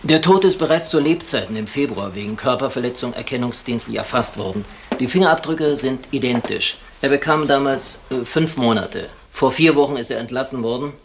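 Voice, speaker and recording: A man's voice: mid-range voice, a little fast, a little hiss or hum, natural voice. An actor playing a male police officer giving a dry, official report in a German crime radio play. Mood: factual, official. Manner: official, matter-of-fact.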